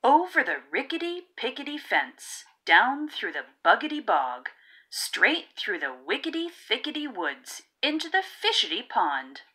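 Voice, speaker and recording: A woman reading a children's picture book aloud in a rhythmic, theatrical way with drawn-out emphasis. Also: fairly high pitch, big pitch swings, bright, forceful tone, slightly rough. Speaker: read-aloud narrator of children's picture books. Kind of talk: expressive storybook reading.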